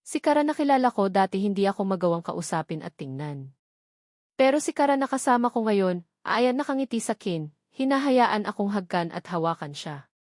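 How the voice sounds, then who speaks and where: A woman's voice: medium pitch, sing-song, normal speed, a little mellow, no room sound, clean studio sound, natural voice. A woman with a Filipino accent reading the part of a devoted, tender husband in a romance audiobook, earnest and sentimental.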